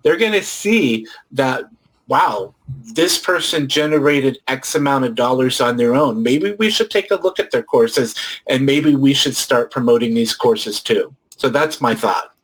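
A man's voice: mid-range voice, lively intonation, a little slow, rough recording. A man who co-hosts a podcast and teaches online courses, explaining and advising in a casual panel discussion.

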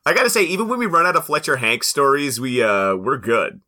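Male co-host, middle register, piercingly bright, animated delivery, playful banter. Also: mid-range voice, fairly quick. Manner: playful, joking. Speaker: podcast co-host. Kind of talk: casual bantering chat.

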